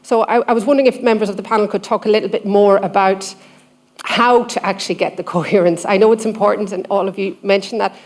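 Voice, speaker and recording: A woman's voice: medium pitch, steady tone, fast talker. A woman from the audience of a conference asking a long question, chatty and thinking aloud.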